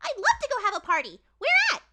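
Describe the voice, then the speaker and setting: A woman voicing a hyperactive, bubbly pink female pony who gushes in rapid squeals. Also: very high voice, wildly swooping pitch, heavy background noise, voice pushed up, exaggerated voice. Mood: excited.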